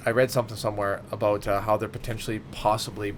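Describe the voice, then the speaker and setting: A man's voice: on the low side, little pitch movement, quick pace, slight background noise. A man chatting informally as a podcast co-host, giving his opinions freely. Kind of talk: casual chat about films and video games.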